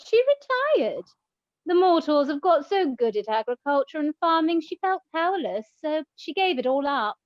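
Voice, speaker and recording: A woman acts out a theatrical goddess of love for a comic radio play. The voice sits high and swoops widely as it mocks and teases with drawn-out emphasis. The sound is dry and a little dull. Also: expressive, no room sound. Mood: breezy, knowing. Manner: mocking, drawn-out emphatic delivery.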